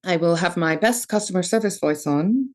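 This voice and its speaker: Forties woman from palestine, bit low voice, chatty podcast co-host, swears casually. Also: expressive, normal speed. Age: 40s. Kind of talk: casual chat.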